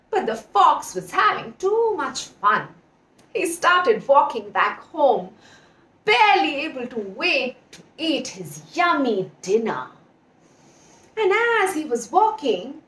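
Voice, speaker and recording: A woman's voice: sits high, fairly bright tone. A woman in her thirties with an Indian accent, telling a children's story expressively with dramatic emphasis. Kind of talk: animated storytelling for young children. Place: indoor room with patterned wall. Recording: echoey room, distant mic.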